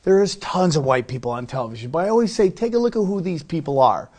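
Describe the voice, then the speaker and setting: Man, middle-aged, slightly high pitch, casual interview talk, emphatic storyteller, podcast guest. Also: lively intonation, fast, a little mellow.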